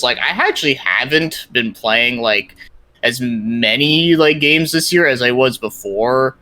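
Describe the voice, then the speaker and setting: Bright-voiced man rambling casually. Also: medium pitch, no room sound. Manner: rambling, informal, peppered with "like" and mild swearing. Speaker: podcast guest, YouTube content creator. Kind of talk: casual interview chat about his projects and gaming.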